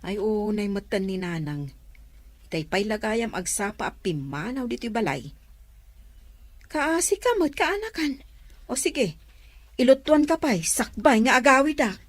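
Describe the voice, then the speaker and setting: A woman's voice: a little high, very animated, a little slow, pauses often, no echo. A woman playing a caring but exasperated aunt who fusses over her niece and scolds her mother in an Ilocano comedy-drama.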